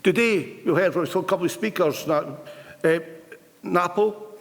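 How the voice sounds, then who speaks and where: A man's voice: high voice, measured pace, creaky. A man in his fifties, a retired union rep giving an earnest, plain-spoken conference speech with occasional stammered restarts in a large hall. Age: 50s. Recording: long hall reverb.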